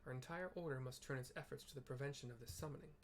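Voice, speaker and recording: A man's voice: medium pitch, very fast, a little hiss or hum, lightly acted. A man reading Alphinaud, earnest young scholar. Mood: urgent. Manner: reads lines aloud in a light, near-natural voice.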